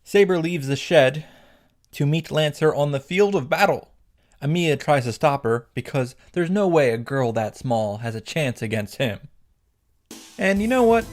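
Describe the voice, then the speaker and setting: A man delivering a scripted comedic recap commentary in a wry, profane, mock-grandiose manner. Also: fairly high pitch. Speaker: visual novel reactor and narrator. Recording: background music under the voice.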